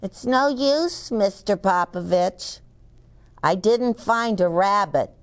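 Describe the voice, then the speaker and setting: A woman's voice: medium pitch, lively intonation, takes it slowly, clean tone. A woman voicing an anxious young male stage magician in a storybook, talking in a pleading, flustered way.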